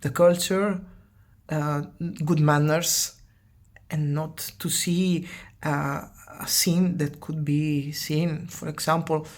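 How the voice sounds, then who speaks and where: An Italian-accented woman talks to her channel's viewers in English, her second language. Her voice sits low for a woman and she moves at an unhurried pace, pausing and repeating words as she finds the next phrase. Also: steady tone. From Italy. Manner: hesitant, often repeating words and restarting phrases. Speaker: channel host. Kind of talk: casual spoken commentary.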